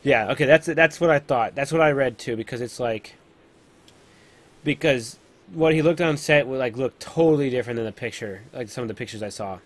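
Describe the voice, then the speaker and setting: A man hosting a casual live podcast, talking in a loose, hesitant and halting way. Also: mid-range voice. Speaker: podcast host.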